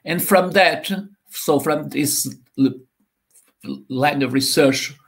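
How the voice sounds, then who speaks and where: A man in his sixties from Portugal with a Portuguese accent, giving an online university lecture over a video call, talking haltingly with many repeated words and fillers. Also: on the high side, creaky. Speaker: university professor, guest lecturer. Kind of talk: online lecture presenting slides. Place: home or office room, on a video call. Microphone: laptop or webcam mic on a video call.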